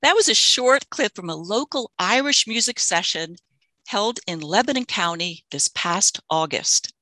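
A woman's voice: medium pitch, animated, a little slow, sharp tone, dry recording. A woman in her sixties hosts a video-call session from her living room, reading a friendly introduction and fumbling a little with the screen. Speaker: host of a folk music society session. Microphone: headset mic on a video call.

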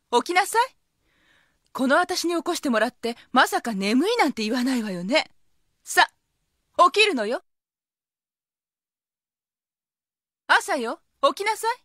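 Haughty high-pitched schoolgirl big sister. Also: bright, forceful tone, dry recording, studio quality, heavily acted. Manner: commanding, self-assured, scolding. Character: proud, haughty high school girl, caring eldest sister. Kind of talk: Japanese anime audio drama acting.

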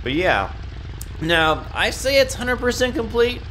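A man's voice: extremely high pitch, very animated, measured pace, bright, forceful tone, slight background noise. A young man casually commenting on his game, stuffed-up and nasal, rambling and correcting himself with small giggles. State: congested, nasal from a stuffy nose. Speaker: gaming YouTuber.

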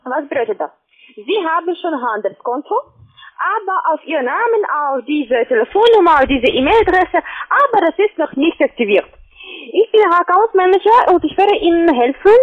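High female voice, foreign speaker, pressing sales questions, halting delivery, tinny phone line. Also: lively intonation. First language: not German. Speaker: phone sales agent, account manager.

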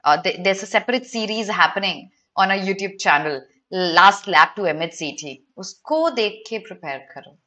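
A woman's voice: medium pitch, fast, pushed and bright. A young woman with an Indian accent teaching an online exam prep lesson to live students, instructional and slipping between English and Hindi. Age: young adult.

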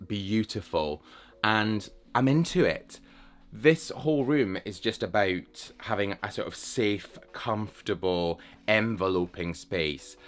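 Chatty low-voiced man touring his flat. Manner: chatty, self-mocking, enthusiastic. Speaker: vlogger giving a flat tour. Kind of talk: casual walk-through chat. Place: rooms of a London flat, indoors. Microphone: handheld camera at arm's length.

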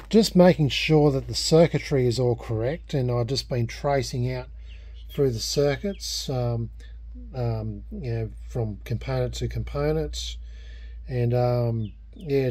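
An Australian man talking casually and unscripted in a workbench vlog, halting and thinking aloud as a hobbyist. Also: mid-range voice, warm tone. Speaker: hobbyist vlogger restoring a vintage radio. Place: small indoor home workshop at a bench.

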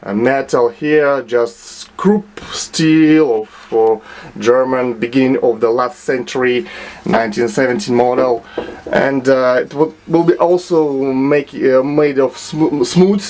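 A man with a Russian accent explaining his own restoration work in a small home workshop, speaking haltingly with many filler sounds and restarts. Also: mid-range voice, steady tone, darkish tone. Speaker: hobbyist restorer. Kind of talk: hesitant show-and-tell narration.

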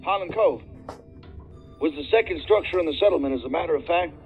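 Chatty corporate contact: polite man, high-pitched, tinny phone-line sound. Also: a little fast, soft background music. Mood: courteous, chatty. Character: courteous, talkative male corporate research contact. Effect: phone or intercom filter. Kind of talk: naturalistic audio drama acting.

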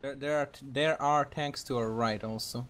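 A man's voice: medium pitch, steady tone, moderate pace, fairly bright tone. A man chatting casually and calling out to a friend while gaming, bantering and swearing freely. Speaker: gamer playing with a friend. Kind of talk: casual gaming chat, callouts. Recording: clear background game noise.

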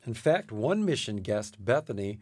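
A man's voice: on the low side, big pitch swings, moderate pace, a little mellow, no room sound. A man speaking as a charity president, giving scripted answers as a radio guest.

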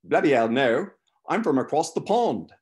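A man voicing a brash, chatty young bass player from a novel, bossy and jovial. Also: very animated, normal speed, a little mellow. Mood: amused.

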